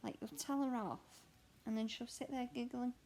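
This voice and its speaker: A young woman with a croaky voice, chatting candidly and rambling while she stitches at home. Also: a little high, normal speed, a little mellow, slight background noise. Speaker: cross stitch vlogger and mother. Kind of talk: casual chat answering a question tag while stitching.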